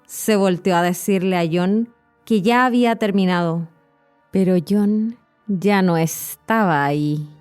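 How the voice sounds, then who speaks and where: A woman with a Chilean Spanish accent, a podcast host and Spanish teacher, narrating a horror story in a clear, measured way. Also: mid-range voice, darkish tone, soft background music.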